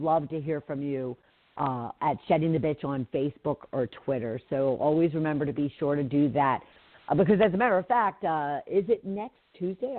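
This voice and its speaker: A woman's voice: deep voice, dry recording. Nasally woman hosting call-in radio. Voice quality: nasally from pollen. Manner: chatty, rambling, frequent fillers and restarts. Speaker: radio show host. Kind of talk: casual live talk radio monologue. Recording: tinny phone-like line.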